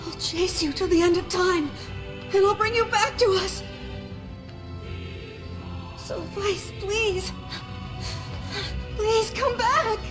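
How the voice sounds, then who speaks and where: A woman voicing a gentle, devoted young boy in a video game, earnest, emotional and halting. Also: extremely high pitch. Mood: desperate, pleading. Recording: loud music under the voice.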